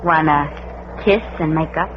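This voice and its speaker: A woman's voice: sits low, a little slow. An American woman playing a hard-boiled, scheming gangster's moll, slangy and calculating, in 1940s radio crime style. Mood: coaxing. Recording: muffled, lightly noisy old radio recording.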